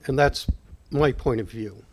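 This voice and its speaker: A man from Ethiopia, a council member, stating his opinion at a public meeting in a deliberate, emphatic way. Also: medium pitch.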